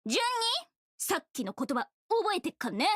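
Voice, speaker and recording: A woman's voice: high voice, very animated, rapid-fire, no echo, clean studio sound. A woman voicing a bashful, teasing young anime girl for a visual novel. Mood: pouty, scolding. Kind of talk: Japanese visual novel voice acting.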